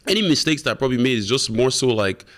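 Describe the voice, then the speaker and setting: A young man who grew up in Ireland, chatting as a guest in a relaxed, slangy way with many fillers. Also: mid-range voice, fast. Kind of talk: casual interview chat.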